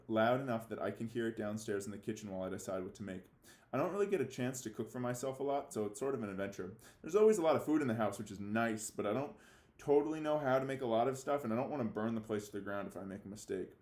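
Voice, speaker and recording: A man speaking as a fifth-grade teacher giving a read-aloud lesson, halting and theatrically mock-serious in his delivery. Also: fairly low pitch, a little mellow. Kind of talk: read-aloud lesson for children, reading a novel with commentary. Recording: echoey room.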